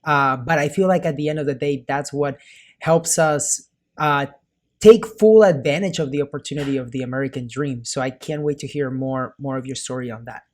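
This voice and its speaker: A man from Peru co-hosting a podcast, excited and enthusiastic. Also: medium pitch, normal speed. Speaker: podcast co-host. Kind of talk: casual podcast chat.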